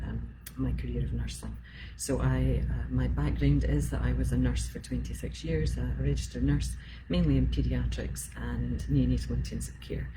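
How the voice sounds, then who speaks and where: Scottish woman, 50s, very deep voice, chatty live-stream talk full of ums, audible background noise. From Scotland. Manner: chatty, rambling with many fillers. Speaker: emotional support specialist.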